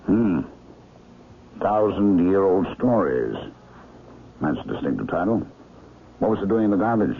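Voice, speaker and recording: An actor playing a perceptive older gentleman sleuth in a radio drama, speaking in a deliberate, storytelling way. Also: low voice, animated. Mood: musing. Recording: lo-fi noisy vintage radio broadcast.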